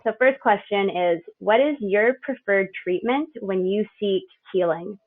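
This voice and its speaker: A woman co-hosting a podcast, introducing a guest and asking measured, structured questions. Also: mid-range voice. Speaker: podcast co-host. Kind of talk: interview hosting. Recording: tinny phone-like line.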